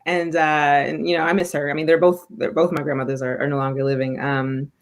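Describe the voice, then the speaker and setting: A woman guest on a talk show, speaking with a notably low voice. She chats casually and emphatically, with an easy, lively delivery. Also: fairly quick. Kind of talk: casual chat.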